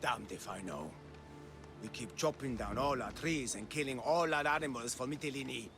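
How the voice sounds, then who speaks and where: A man's voice: medium pitch, moderate pace, a bit bright, soft background music, natural voice. A man playing a disgruntled, scheming islander in a video game, with an indignant, sardonic delivery. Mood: resentful, scheming. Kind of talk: video game cutscene acting.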